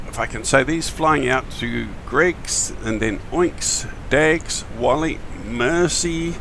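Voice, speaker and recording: A man, his voice gravelly and croaky in the morning, chatting casually and with many fillers as he streams a flight simulator. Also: medium pitch, pushed and bright, slight background noise. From New Zealand. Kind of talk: casual live-stream chat.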